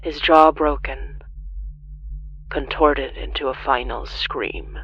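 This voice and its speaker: A horror storyteller delivers scripted narration in a mid-pitched voice. The delivery is solemn and deliberate, broken by dramatic pauses. The recording sounds somewhat dull and closed-in. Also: steady tone, a bit bright, slight background noise. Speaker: narrator of a horror fiction podcast.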